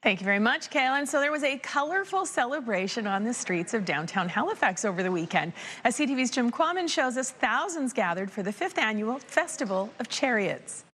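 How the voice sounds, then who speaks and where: A woman's voice: on the high side, very animated, fairly quick. A woman anchoring a local evening newscast, reading scripted stories in an upbeat, friendly way. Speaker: news anchor.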